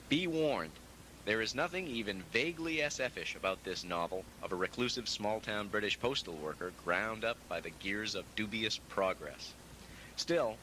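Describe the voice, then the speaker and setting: A man's voice: lowish voice, sharp tone, a little hiss or hum, low-quality recording. A man reading a scripted book review over repeated takes, breaking off into jokey, self-mocking asides. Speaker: book reviewer recording narration.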